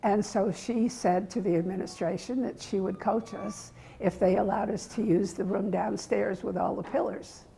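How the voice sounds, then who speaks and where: A woman's voice: medium pitch, moderate pace, gravelly, few pauses, a little hiss or hum, poor audio. An older woman steadily recounting how her team began. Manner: steady narrative telling. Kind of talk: documentary recollection.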